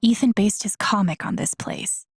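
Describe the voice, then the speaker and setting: An actress voicing a wry, caring young woman in a video game, muttering to herself in a soft, understated, dryly musing way. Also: medium pitch, normal speed, husky, no room sound, studio quality, natural voice. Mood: focused musing. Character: young woman, wry, caring, thinking aloud while searching. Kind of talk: video game voice acting, muttered self-talk while exploring.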